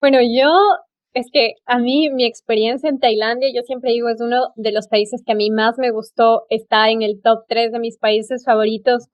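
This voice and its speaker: A woman's voice: a little high, little pitch movement, normal speed, dull audio. A woman with an Ecuadorian Spanish accent hosting a casual travel conversation, effusive and given to emphatic repetition. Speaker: podcast host.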